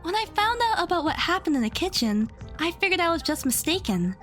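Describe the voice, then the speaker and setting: Audio drama role: actress as earnest young female defender, high, lively, insistent. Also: a little fast, faint music behind, exaggerated voice. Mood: hesitant, uneasy. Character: earnest, determined young woman defending the accused. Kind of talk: anime-style audio drama.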